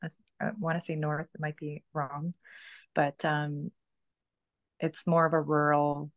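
A woman in her thirties speaks as a podcast guest with a low pitch and a gravelly edge of fry. She talks loosely and chattily, leaning on "like" and "you know" as her thoughts unfold. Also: flat delivery, measured pace, no room sound. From Canada. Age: 30s. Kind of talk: casual conversational storytelling.

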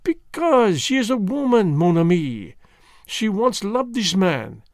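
A man's voice: high voice, very animated, slow, dry recording, clean studio sound, pitched above the performer's own voice, exaggerated voice. A man reading the part of a fussy, vain elderly Belgian detective who speaks precisely and drops French words. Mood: placid reasoning.

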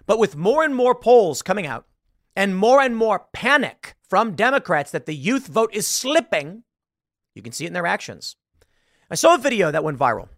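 Animated sarcastic man in his thirties. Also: high-pitched, no echo, clean studio sound. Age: 30s. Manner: impassioned, sarcastic, mocking quoted voices. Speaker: political commentary host. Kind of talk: live political commentary.

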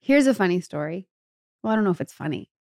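An American woman chatting casually as a podcast co-host, telling stories in an animated way full of "like" and quoted dialogue. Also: lowish voice, quick pace, no room sound, clean studio sound. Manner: animated storyteller, peppers talk with "like" and quoted dialogue. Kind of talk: casual anecdotal chat.

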